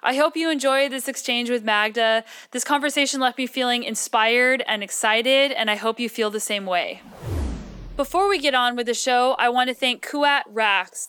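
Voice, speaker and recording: A woman podcast host with a bright, crisp voice. She speaks in an informal, chatty register, moving between show introductions and conversational interviewing. Her pitch sits a little high.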